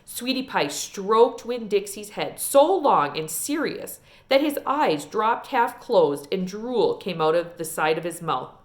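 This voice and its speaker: A woman reading a children's novel aloud in an expressive storytelling style. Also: medium pitch, measured pace, hardly any pauses. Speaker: read-aloud reader.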